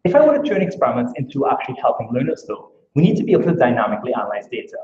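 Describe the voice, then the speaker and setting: Fast-talking Trinidadian man giving a talk. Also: mid-range voice, big pitch swings, a little mellow. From Trinidad and Tobago. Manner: brisk, earnest, explaining with rhetorical questions. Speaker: academic speaker. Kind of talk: conference talk. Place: lecture room. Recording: echoey, dull room recording.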